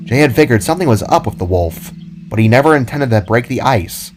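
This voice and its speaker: A man's voice: medium pitch, animated, fairly quick. Male story narrator over music.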